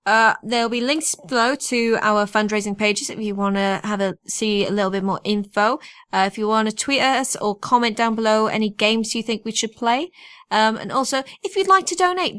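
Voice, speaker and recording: Female podcast co-host for relaxed banter; wry, a little halting, recorded dry. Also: on the high side, smooth, no echo. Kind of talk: casual group chat.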